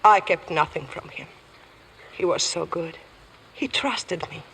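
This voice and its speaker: An actress playing a proud, world-weary widow accused of murder, speaking in a measured, guarded, formal way in an old radio drama. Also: female voice, lowish voice, vocal fry, a bit bright, husky, heavily acted. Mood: sorrowful. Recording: noisy vintage radio broadcast.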